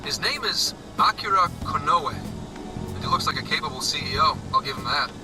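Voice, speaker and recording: A man playing a gruff, streetwise adult who talks casually to kids in a video game dub. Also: fairly high pitch, expressive, normal speed, music in the background. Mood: wry, cautioning.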